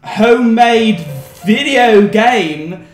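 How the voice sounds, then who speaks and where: A man's voice: very high voice, extremely slow. A man hosting a let's play interview in a goofy, over-eager and emotional manner. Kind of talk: goofy game interview chat. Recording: roomy echo, music behind.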